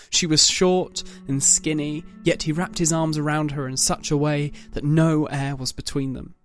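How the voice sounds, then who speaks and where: A man reading dramatic scripted narration as the narrator of an audio story. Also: fairly high pitch.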